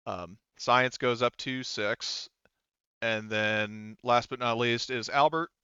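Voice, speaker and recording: A man running an online tabletop roleplaying game as game master, talking casually and haltingly as he thinks aloud and corrects himself. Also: medium pitch, pushed and bright, clean tone, no echo, lo-fi sound.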